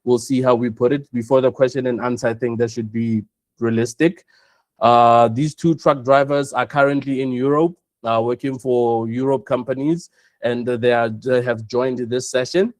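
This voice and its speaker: A South African man presenting an online webinar, speaking in a measured way and repeating his points for emphasis. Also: mid-range voice, steady tone, dry recording. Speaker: webinar host, operations chief.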